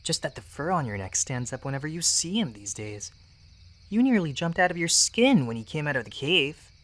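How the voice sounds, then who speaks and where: Guy doing a nosy upbeat young cat for an audiobook, eager, really animated. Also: on the high side, normal speed. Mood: curious. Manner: eager, inquisitive. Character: curious, upbeat young male apprentice cat. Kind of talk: fandub audiobook voice acting.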